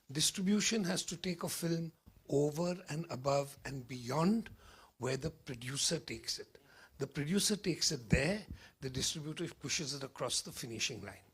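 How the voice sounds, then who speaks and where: A hoarse middle-aged man with an Indian accent, a film critic answering confidently at a press event. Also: mid-range voice, fairly bright tone. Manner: emphatic, confident predictions. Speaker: film critic and distribution executive.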